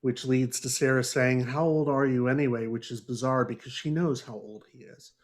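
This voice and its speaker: Man chatting casually, mid-pitched voice. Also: fairly even intonation, normal speed. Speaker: book club podcast panelist. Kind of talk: casual book discussion.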